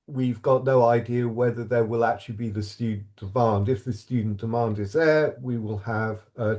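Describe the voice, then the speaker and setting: A man on a video call answers questions about a university course, speaking at a slow, unhurried pace. He stops and restarts often and fills gaps with ums and uhs. His pitch sits in a middle range. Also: a little mellow. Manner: hesitant, many restarts and fillers. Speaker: programme staff member. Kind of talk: webinar Q&A answer.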